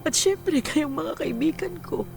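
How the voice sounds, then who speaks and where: A woman's voice: high-pitched, animated, unhurried, gravelly, music in the background, full character voice. A woman acting in a Filipino radio drama as an earnest, wistful widowed mother in her forties, weary after working overseas.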